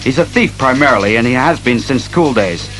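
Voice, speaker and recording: A man playing a stern, analytical masked detective, speaking resolutely and deliberately in a superhero audio drama. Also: mid-range voice, expressive, a bit bright, soft background music, close to an everyday voice, poor audio. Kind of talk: superhero radio drama acting.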